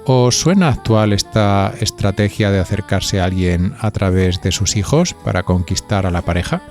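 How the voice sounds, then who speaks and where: A man's voice: lowish voice, moderate pace, over music. A man with an accent from Spain hosting a podcast, reading scripted narration and putting conversational questions to listeners. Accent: Spanish from Spain. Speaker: podcast host.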